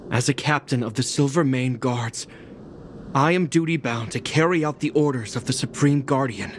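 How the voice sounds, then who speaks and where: An actor playing a stern, duty-bound young guard captain in a video game, speaking in a formal, commanding, solemn military way. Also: male voice, medium pitch, moderate pace, slight background noise, natural voice. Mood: solemn, resolute. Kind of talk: dramatic video game voice acting.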